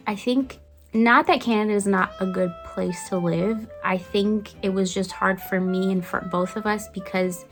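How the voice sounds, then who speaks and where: A young woman with a faint Brazilian accent chats casually to her vlog audience at a middle pitch. She sounds upbeat and talkative, with easy restarts, and quiet guitar music plays underneath. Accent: slight Brazilian. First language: Brazilian Portuguese. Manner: chatty and upbeat, with light laughs and small restarts. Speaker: lifestyle vlogger. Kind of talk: casual get-to-know-me chat.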